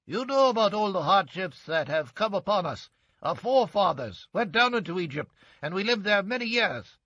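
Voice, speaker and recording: An earnest ancient messenger in an audio Bible drama, high and forceful with a gravelly edge. He declaims a formal, beseeching plea in a dry studio sound. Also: dry recording, full character voice. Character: earnest male Israelite messenger pleading with a king. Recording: dry, dull studio track.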